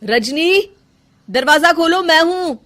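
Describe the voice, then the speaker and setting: Young husband acted by a female narrator in a storybook tale: raised pitch, questioning, urgent, concerned. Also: expressive, slow, fairly bright tone, exaggerated voice. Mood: surprised, calling. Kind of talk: Hindi moral story character voice.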